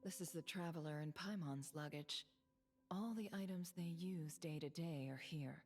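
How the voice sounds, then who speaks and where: Actress as a poised sly game lady, lowish voice, composed and musing, soft music behind. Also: moderate pace, natural voice. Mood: curious, musing. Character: poised, observant woman, quietly caring and sly. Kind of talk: animated video game voice acting.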